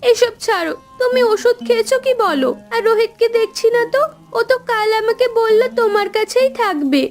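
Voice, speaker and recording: A very high-pitched young wife and daughter, anxious and soft-hearted. She speaks with pleading emotion over faint music. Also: lively intonation, a little fast. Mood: upset. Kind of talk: Bengali cartoon voice acting.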